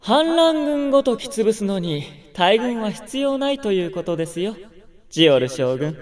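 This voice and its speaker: A man's voice: high-pitched, big pitch swings, normal speed. An actor playing a smug young male sorcerer with a mockingly polite, theatrical manner in a radio drama.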